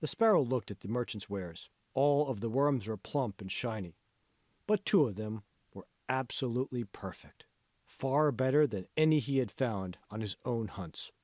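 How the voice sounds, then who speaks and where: A man's voice: mid-range voice, expressive, moderate pace, a little mellow, no room sound, studio quality. A man narrating a novel in a scripted audiobook style.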